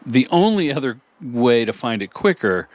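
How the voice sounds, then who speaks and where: Male podcast host, jokey and animated, mid-pitched with a lively range. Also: normal speed. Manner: jokey, riffs playfully, animated. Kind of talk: casual interview chat.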